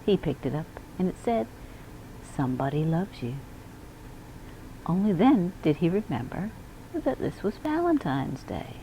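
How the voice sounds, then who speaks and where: A woman reading a children's picture book aloud in an expressive storytelling manner. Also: fairly low pitch, moderate pace, slight background noise, poor audio. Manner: expressive, acts out dialogue and emphasis. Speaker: school media specialist reading aloud.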